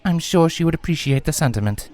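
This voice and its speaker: A man's voice: fairly high pitch, expressive, fast, a little mellow, a faint crowd, voice pushed up, natural voice. A man voicing a courteous, thoughtful adult female pony princess in a fantasy storybook.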